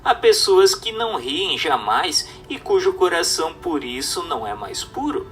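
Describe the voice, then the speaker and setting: Male narrator, formal and instructive, very animated intonation, slightly distant mic over faint music. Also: on the high side, moderate pace. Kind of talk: scripted reading of a spiritist text, audiobook-like.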